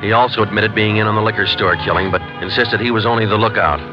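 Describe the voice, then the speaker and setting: A man playing a terse, businesslike police detective in a 1940s radio drama, narrating in a clipped, deadpan, matter-of-fact way. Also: lowish voice, fast, lightly acted. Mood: flat, matter-of-fact. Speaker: lead actor and narrator. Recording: muffled vintage 1940s radio broadcast, loud music.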